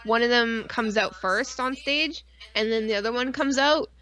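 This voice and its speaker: Bright-voiced woman podcaster, casual and sweary. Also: on the high side, normal speed. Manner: profane, rambling, animated storytelling with acted-out asides. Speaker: podcast co-host. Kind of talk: casual chat.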